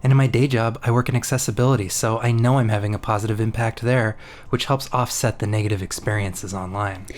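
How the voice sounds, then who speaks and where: A man's voice: fairly low pitch, fairly even intonation, fast talker. A man reading an informal first-person testimony aloud as a guest contributor. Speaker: guest reader of a written community response.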